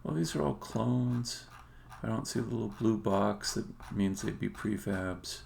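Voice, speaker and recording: Very creaky-voiced man muttering at his computer. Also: on the low side, unhurried, darkish tone. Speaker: game developer livestreaming his work. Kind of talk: casual live-coding commentary, thinking aloud. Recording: faint noise, keyboard typing and clicks. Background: keyboard typing and mouse clicking.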